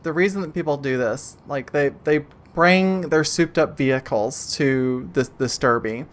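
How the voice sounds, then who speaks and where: A man voicing a seasoned female computer-program driver in a tabletop game, measured and matter-of-fact. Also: a little high, lightly acted. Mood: coolly confident.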